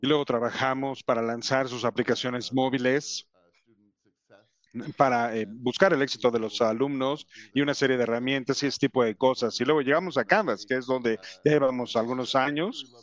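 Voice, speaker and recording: A man with an English accent and English as his first language, giving a conference presentation in a halting way, with many fillers and restarts. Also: mid-range voice, steady tone, muffled recording. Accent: English speaker's Spanish. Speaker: company representative presenting to educators.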